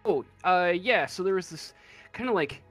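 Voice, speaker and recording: A man's voice: high voice, lively intonation, normal speed, fairly bright tone, soft background music. A man improvising tabletop game narration as game master, hesitant and often searching for words.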